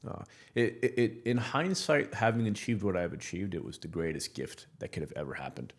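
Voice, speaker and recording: A man in his thirties from Morocco, speaking as a podcast guest in a low voice. He talks candidly and bluntly, restarting his sentences and leaning on fillers as he goes. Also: a little fast. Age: 30s. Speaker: guest entrepreneur. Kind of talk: casual podcast interview.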